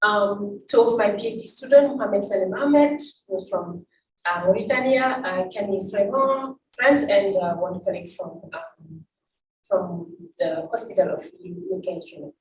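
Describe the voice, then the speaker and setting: A woman from Senegal presents research slides, pausing often and restarting her sentences. She sounds some way from the mic in a roomy space, with a faint hiss underneath. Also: mid-range voice. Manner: halting, many fillers and restarts. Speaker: invited academic speaker. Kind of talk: conference talk with slides. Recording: roomy, distant, faint noise.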